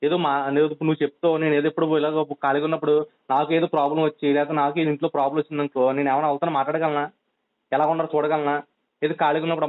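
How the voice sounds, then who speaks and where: A man with a coastal Andhra Telugu accent, pleading urgently and insistently on a phone call, admonishing and repeating himself. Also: fairly high pitch. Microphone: telephone. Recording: tinny narrowband phone call.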